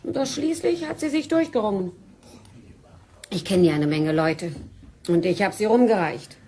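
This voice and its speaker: A woman's voice: mid-range voice, lively intonation, moderate pace, mellow, a little hiss or hum, natural voice. An actress playing a worldly, teasing woman, flippant and cool, in a German-style radio drama. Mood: chatty, knowing.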